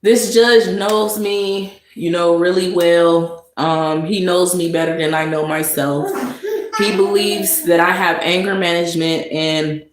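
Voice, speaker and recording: A woman vlogger delivering a sarcastic monologue of mock praise, with drawn-out emphasis. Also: on the low side, fairly even intonation. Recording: echoey room with noise.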